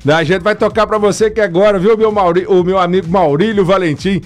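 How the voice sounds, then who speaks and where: A man's voice: sits high, fairly even intonation, fast. A man hosting a morning radio show, chatty and joking, sending greetings to listeners. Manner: jokey, chatty, laughs often. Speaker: radio host. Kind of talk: morning radio shout-outs.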